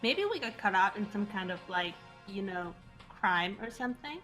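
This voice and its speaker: A woman's voice: mid-range voice, expressive, normal speed, sharp tone, soft background music. A woman playing a brash, worried teenage schoolgirl in an amateur game dub. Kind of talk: amateur game character acting.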